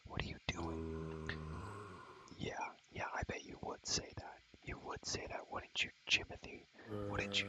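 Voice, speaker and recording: A man whispering a casual, slangy ASMR tour of his game world, rambling and repeating his phrases in a breathy, unvoiced voice. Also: very deep voice, bright, forceful tone, poor audio.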